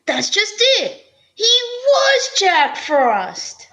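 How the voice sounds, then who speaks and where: A woman's voice: very high-pitched, big pitch swings, very slow, sharp tone, exaggerated voice. A woman playing a flustered, anxious male store executive in an amateur old-time radio drama, hesitant and fussy. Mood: nervous.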